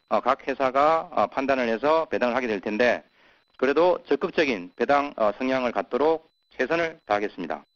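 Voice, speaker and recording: A man presenting as a company executive on an earnings call, pausing often with filler sounds. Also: mid-range voice, a bit bright. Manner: halting, many filler sounds. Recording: muffled conference call audio.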